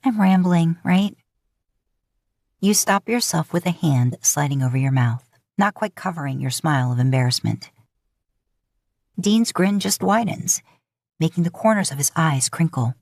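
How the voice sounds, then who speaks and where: A woman with a low voice narrates romantic fan fiction in the second person. The recording is dry and close, and the delivery is that of a scripted audio story. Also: lively intonation, normal speed, creaky, a little mellow, no room sound. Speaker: podfic narrator.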